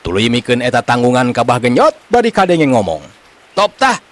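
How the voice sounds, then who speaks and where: A man with a Sundanese accent telling a comic folk tale in an animated storytelling style. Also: medium pitch, fairly quick.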